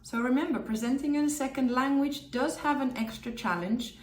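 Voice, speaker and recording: A woman's voice: sits high, moderate pace, a little mellow, a little hiss or hum. Upbeat woman in an echoey room. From Finland. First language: Finnish. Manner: upbeat, emphatic repetition. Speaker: presenter and communication trainer. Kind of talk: direct-to-camera tips video.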